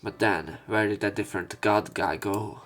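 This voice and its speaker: A man's voice: lowish voice, moderate pace, a bit bright, soft background music. A man reading visual novel narration aloud as a let's play narrator.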